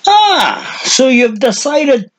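Shrill, cranky old hermit. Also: male voice, big pitch swings, very slow, fairly bright tone, heavily acted. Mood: irritable, dismissive. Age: older. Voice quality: high and strident. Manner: shrill, ranting, muttering. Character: cranky old hermit man, thin and hunched.

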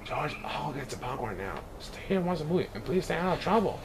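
Man playing a kindly storybook guardian, lively. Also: on the high side, fast, noisy background. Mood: hushed caution. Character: kindly man in yellow hat, cautioning his little friend.

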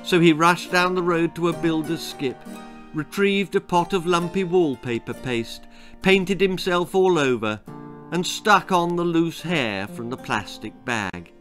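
A British man in his sixties reading a children's story aloud with expressive, theatrical narration. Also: on the high side, a little slow, soft background music. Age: 60s. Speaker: author reading own story. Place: small book-lined room indoors.

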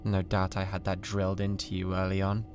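A British man playing a cold, condescending mad scientist with a god complex in an ASMR roleplay, speaking in a deliberate, theatrical way. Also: deep voice, monotone, background noise. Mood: grandiose, controlled. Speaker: ASMR voice actor. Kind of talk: ASMR roleplay, scripted drama.

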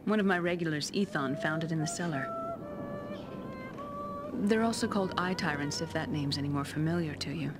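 A woman's voice: low-pitched, a little fast, pauses often, over loud music. A woman voicing a friendly, chatty tavern barmaid in a fantasy video game. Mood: welcoming, chatty. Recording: noisy tavern ambience with clinking.

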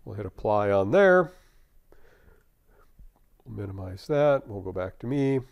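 An American man in his fifties working as a technical trainer, explaining a software demonstration step by step in a conversational way. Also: lowish voice, big pitch swings, unhurried, creaky, highs cut off.

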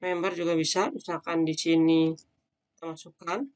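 A man's voice: fairly high pitch, flat delivery, normal speed, clean tone. Man thinking aloud through a coding demo. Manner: casual, thinking aloud with fillers while demonstrating. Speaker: teacher. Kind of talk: screen-recorded coding tutorial. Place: at a computer, voice-over on screen recording.

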